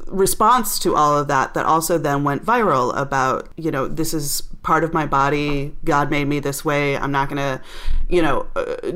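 A woman interviewing an author, speaking thoughtfully and earnestly in quick bursts. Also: low voice. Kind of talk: interview conversation.

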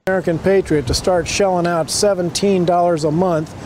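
A man delivering an earnest, urgent sales pitch to camera in a preachy, exhorting manner. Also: fairly even intonation, fairly quick. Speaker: political video maker pitching a sponsor. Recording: noisy outdoor video with traffic ambience.

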